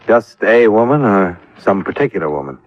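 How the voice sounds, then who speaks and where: An American actor playing a sardonic, hard-boiled insurance investigator in the dry, clipped style of 1950s radio detective drama. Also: male voice, fairly low pitch, very animated, normal speed, dry recording. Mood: businesslike. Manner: dry, sarcastic, clipped questioning. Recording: muffled vintage radio recording.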